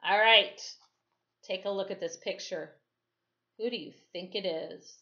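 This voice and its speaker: A woman teacher reading a storybook aloud in a deliberate, dramatic way, with explanatory asides and checking questions. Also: medium pitch, sharp tone. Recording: dull, lo-fi sound.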